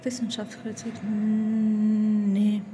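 A young woman art student answering interview questions, soft-spoken and hesitant, often searching for words, in a basement exhibition room. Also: medium pitch, completely flat delivery, takes it slowly. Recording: noisy background.

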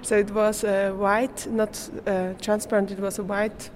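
Slow, hesitant Swiss woman speaking English. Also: medium pitch, flat delivery, background noise. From Switzerland. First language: German. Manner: hesitant, searching for English words, laughs often. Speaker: art student. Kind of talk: interview.

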